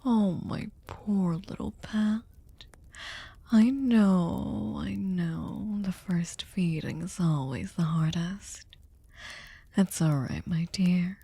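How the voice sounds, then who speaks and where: A woman playing a sadistic, seductive vampire mistress in an ASMR roleplay, commanding and condescendingly tender, breathy and often whispering. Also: on the low side, slow pace, warm tone, no room sound, heavily acted. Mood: soothing, possessive. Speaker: ASMR voice performer. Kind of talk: ASMR roleplay, slow intimate monologue. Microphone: close studio microphone, dry.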